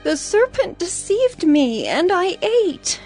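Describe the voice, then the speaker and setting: Brief: actress as the young first woman, earnest, lively pitch, soft music behind. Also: slow, heavily acted. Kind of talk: audio drama acting.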